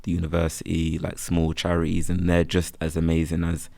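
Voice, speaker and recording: A young man with a Birmingham accent, chatting in a relaxed, rambling way as an interview guest. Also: sits low, brisk, vocal fry, dry recording. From England. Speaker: guest, freelance photographer. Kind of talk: casual interview chat.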